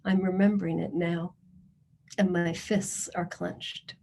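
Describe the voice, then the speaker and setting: Woman social services presenter with a slightly low, warm voice. Measured and earnest, for a formal committee address. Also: level tone, vocal fry. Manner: measured and earnest, reading testimonies in first person.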